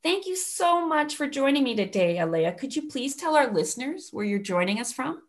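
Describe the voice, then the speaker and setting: A woman's voice: high voice, sing-song, moderate pace. A woman hosting a podcast interview, asking questions with eager enthusiasm. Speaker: podcast host.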